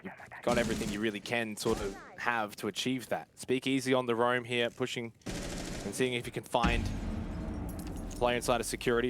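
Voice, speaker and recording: A man's voice: mid-range voice, noisy background. A man giving live play-by-play commentary on an esports match as a caster.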